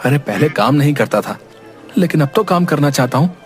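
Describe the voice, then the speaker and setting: A man playing a hot-headed, earnest young village man in a cartoon moral story, brisk and protesting. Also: a little high, lively intonation, faint music behind, lightly acted. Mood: frustrated.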